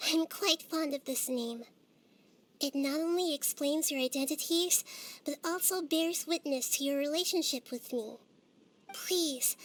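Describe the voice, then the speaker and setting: A woman voicing a gentle, wise, childlike little girl deity in a game cutscene, with formal, thoughtful phrasing. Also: extremely high pitch.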